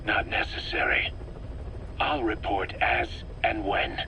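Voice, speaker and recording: A man playing a gruff, guarded military commander in a video game, speaking tersely and defensively. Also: lowish voice, huge pitch swings, takes it slowly, heavily acted. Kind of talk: scripted video game dialogue over radio. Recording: radio tape with background noise.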